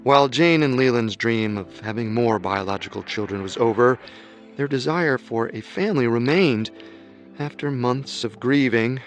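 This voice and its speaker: A man giving measured, scripted documentary narration. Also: mid-range voice.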